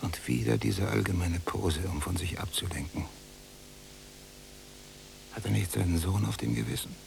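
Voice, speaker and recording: A bitter young prisoner in a radio play. His voice is very low and full of fry, brooding and sardonic, suspicious of everyone. A faint hum runs under the recording. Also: moderate pace. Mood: muttering, scornful. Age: young adult. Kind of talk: literary radio play acting.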